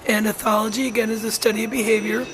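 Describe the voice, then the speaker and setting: A hoarse wolf center educator who is losing their voice, narrating a video informally with many pauses, ums and restarts. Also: mid-range voice, flat delivery, crowd noise, poor audio. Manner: halting, frequent ums and restarts.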